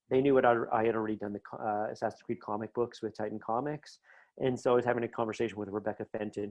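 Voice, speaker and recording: A man's voice: mid-range voice, a little mellow, highs cut off. A man talking conversationally as an interview guest, chatty and enthusiastic, telling anecdotes about his creative work.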